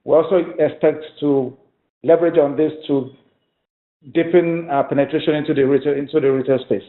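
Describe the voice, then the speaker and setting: Male executive host, slightly high voice, formal and halting, roomy telephone sound. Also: fairly even intonation. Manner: measured, halting, courteous. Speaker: company executive hosting the call. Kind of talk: formal conference call answers. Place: telephone conference call. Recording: roomy, tinny speakerphone line.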